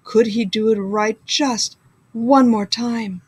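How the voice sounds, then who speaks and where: Unhurried, higher-voiced woman reading a storybook. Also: fairly even intonation, slightly rough. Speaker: school librarian. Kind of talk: storybook read-aloud for children.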